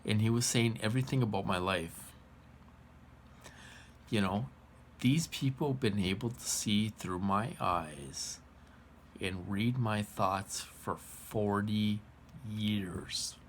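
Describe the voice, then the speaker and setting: Slow-talking American man in his forties. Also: on the low side, slight background noise. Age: 40s. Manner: repetitive, emphatic, colloquial. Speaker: vlogger. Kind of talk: informal monologue to viewers.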